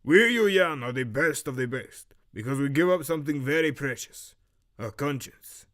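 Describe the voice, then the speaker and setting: A man voicing a stern master archery instructor with a muddled, Romanian-like Eastern European accent, lecturing in a measured, severe way for an audio drama. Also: medium pitch, lively intonation, fairly bright tone, natural voice. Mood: cold, solemn. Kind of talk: fan audio drama character acting.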